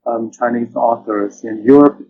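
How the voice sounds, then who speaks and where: A man answering interview questions as a guest, conversational and halting, often repeating phrases. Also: medium pitch, steady tone, darkish tone, lo-fi sound. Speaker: podcast guest, literary translator.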